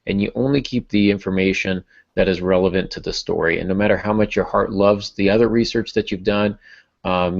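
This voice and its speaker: A man's voice: on the low side, gravelly, lo-fi sound. A man hosting a writing podcast in casual talk, with a self-deprecating, joking manner. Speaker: podcast host and fiction writer.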